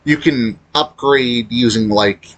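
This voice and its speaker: Bright-voiced man in halting, blunt commentary. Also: medium pitch. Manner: halting delivery, blunt complaining. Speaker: gaming video creator. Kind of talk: casual unscripted opinion commentary.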